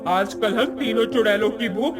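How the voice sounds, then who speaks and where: Man voicing a high-pitched, bossy hungry witch. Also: moderate pace, lightly acted. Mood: hungry, complaining. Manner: theatrical, taunting. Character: bossy, hungry pregnant witch, sharp-tongued and gleefully menacing. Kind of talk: Hindi moral-story cartoon voice acting. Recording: loud music under the voice.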